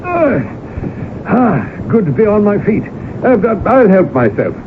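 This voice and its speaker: An actor playing a boastful, genial colonel who spins tall tales in a pompous, hearty radio-drama manner. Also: male voice, sits high, very animated, unhurried. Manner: pompous, hearty, old-fashioned clubman phrasing. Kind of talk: 1980s radio drama acting. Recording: tinny, noisy old radio broadcast recording.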